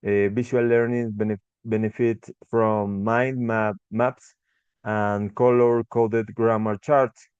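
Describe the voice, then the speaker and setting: A young man with an Ecuadorian Spanish accent gives a short class presentation as an English tutor, pausing often with fillers and restarting words. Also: fairly low pitch, little pitch movement, a little mellow, clean tone, no echo, dull audio. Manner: halting, frequent restarts and fillers.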